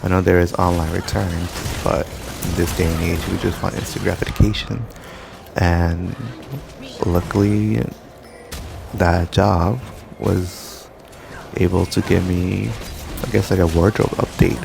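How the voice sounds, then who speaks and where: A man's voice: low-pitched, flat delivery, measured pace, vocal fry, over music. A young man rambling casually over gameplay, drifting through loose stories full of fillers. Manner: loose, meandering, filler-heavy storytelling. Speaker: gaming commentator. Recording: background noise.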